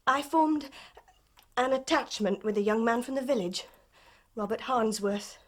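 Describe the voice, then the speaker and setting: A woman's voice: fairly high pitch, unhurried. An actress playing a guarded, weary young woman in a radio drama, curt in her answers. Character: guarded young woman, a dead man's daughter.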